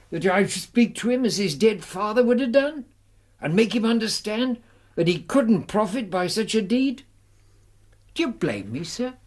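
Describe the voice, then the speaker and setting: Bannister, high-voiced old English servant. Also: male voice. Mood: pleading. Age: older. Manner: deferential, earnest, pleading. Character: loyal, emotional elderly college servant, former butler. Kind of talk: British radio drama acting.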